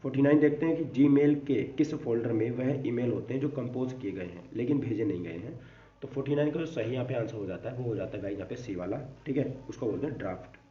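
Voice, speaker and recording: Male exam coach, brisk informal quiz delivery with English terms mixed in; roomy, distant recording. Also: medium pitch. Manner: repeats catchphrases, mixes English terms into explanations. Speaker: online exam-prep teacher. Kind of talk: informal lesson reading out quiz questions and answers. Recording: dull, distant, echoey room with faint noise.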